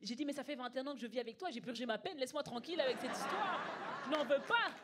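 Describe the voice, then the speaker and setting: A woman's voice: sits high, quick pace, a loud crowd, heavy background noise. A woman tells stand-up stories in an emphatic, conversational way, acting out dialogue. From Belgium. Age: early 20s. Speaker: stand-up comedian. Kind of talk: live stand-up storytelling. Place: comedy club stage. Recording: live stage with audience laughter. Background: audience laughing.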